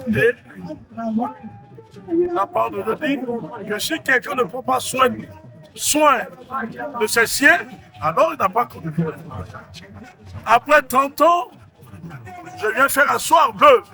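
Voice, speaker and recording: A man with a Central African French accent preaching a sermon as a prophet, emphatic and repeating his phrases. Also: very high voice.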